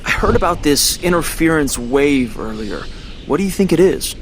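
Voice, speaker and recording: An actor playing a young, eager rookie mutant hero in a video game, speaking in a casual, plain way. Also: male voice, fairly high pitch, expressive, normal speed, slight background noise, natural voice. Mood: eager, curious. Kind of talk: video game cutscene acting.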